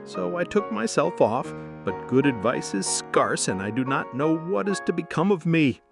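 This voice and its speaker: A man's voice: fairly high pitch, sing-song, moderate pace, creaky, music in the background. A man voicing an old, dismal, self-pitying cat in a storybook tale. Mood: gloomy, complaining. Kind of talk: storybook character voice.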